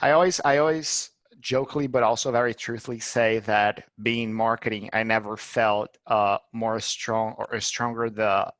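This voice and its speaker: A man's voice: lowish voice, steady tone, normal speed, hardly any pauses, fairly bright tone, no room sound. Brazilian man, eager, searching for words. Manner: eager, repeats words while finding phrasing. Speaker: guest, head of marketing. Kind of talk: business interview.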